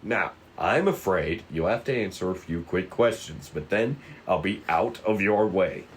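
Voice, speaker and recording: A man's voice: low voice, animated, a little hiss or hum. A man playing a polite, composed FBI agent who speaks formally, in a script table read. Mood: polite, firm. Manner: courteous, measured, formal.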